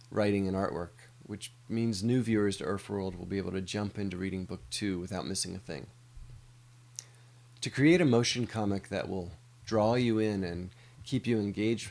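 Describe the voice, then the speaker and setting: A man's voice: sits low, gravelly, darkish tone. A middle-aged American man, an animator, giving a scripted presentation of a project.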